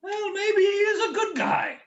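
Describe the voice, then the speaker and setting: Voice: extremely high pitch, measured pace, reverberant, muffled recording. A performer playing a skeptical, indignant listener who keeps interrupting in a comic fairytale audio drama. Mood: softening. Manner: incredulous, interrupting, emotional.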